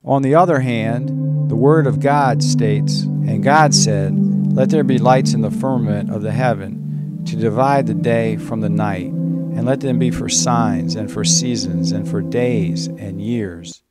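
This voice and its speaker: Man reading out solemn narration like scripture, really emphatic and declamatory, guitar music clearly behind him. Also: fairly low pitch, measured pace.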